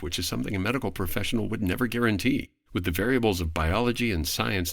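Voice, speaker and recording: A man speaking as a podcast guest, giving a prepared, articulate explanation in formal, measured phrasing. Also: on the low side, a bit bright, no echo, clean studio sound.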